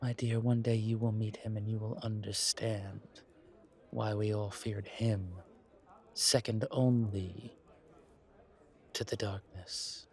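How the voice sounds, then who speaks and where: A man voicing a courtly, wary, centuries-old vampire in a roleplay audio drama, speaking in a measured, formal, breathy way. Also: lowish voice, level tone, darkish tone. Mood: reverent, reminiscing. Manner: measured, formal, politely dry.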